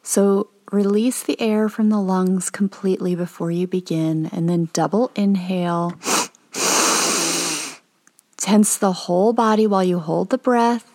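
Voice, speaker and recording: Slow, mellow woman guiding meditation. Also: medium pitch. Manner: calm, gentle, soothing instruction with pauses. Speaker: meditation guide. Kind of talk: guided meditation.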